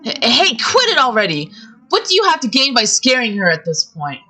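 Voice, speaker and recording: An anxious teenage boy pleads urgently, trying to calm someone down, as a woman puts him on. The voice sits well above her own, bright and strained. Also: high-pitched, measured pace, voice pushed up, heavily acted. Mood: upset.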